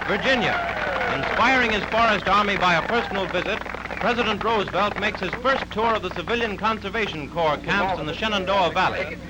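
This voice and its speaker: A man's voice: sits high, a little fast, heavy background noise. A man narrating a 1930s newsreel in an emphatic, declamatory style, on a vintage soundtrack. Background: loud newsreel music.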